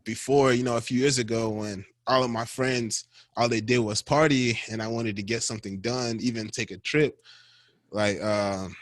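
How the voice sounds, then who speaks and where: A man's voice: mid-range voice, steady tone, moderate pace, no room sound. A man shares a personal story on a video call in a loose, conversational way, with lots of fillers. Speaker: panel speaker.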